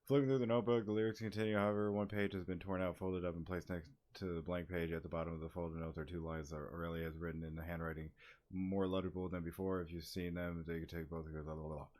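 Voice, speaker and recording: A man's voice: low-pitched, flat delivery, fast, a little mellow. A man reading game dialogue aloud during a playthrough, breaking off to react casually. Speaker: gaming streamer.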